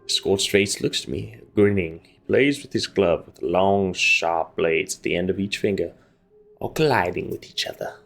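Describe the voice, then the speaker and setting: A man's voice: low-pitched, normal speed, gravelly, a bit bright, soft background music. A man hosting a gaming video, reading visual novel narration aloud and adding casual commentary.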